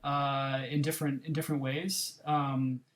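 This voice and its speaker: A man's voice: mid-range voice, flat delivery, a little slow. A filmmaker on a podcast panel, a man reflecting aloud on film and often restarting his thoughts. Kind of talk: casual film discussion.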